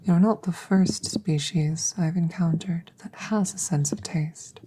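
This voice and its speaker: A woman voicing a curious, calm, gentle female alien scientist in a measured, formal whisper for an ASMR roleplay. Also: lowish voice, slight background noise, close to an everyday voice. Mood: curious, hushed. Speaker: audio roleplay voice performer. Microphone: close microphone.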